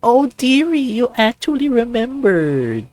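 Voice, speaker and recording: A gushing, dainty old dear put on by a man coos in a jokey falsetto-high voice. It is visual novel banter, recorded dry. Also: sing-song, unhurried, a little mellow, dry recording, exaggerated voice. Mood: mock delight.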